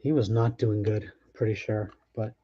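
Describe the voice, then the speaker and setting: A man's voice: fairly low pitch, steady tone, normal speed, dark tone, husky, highs cut off. A man hosting a sports card break, talking casually and thinking aloud as he opens packs. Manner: chatty, offhand, thinks aloud with restarts. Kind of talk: casual live commentary while opening packs.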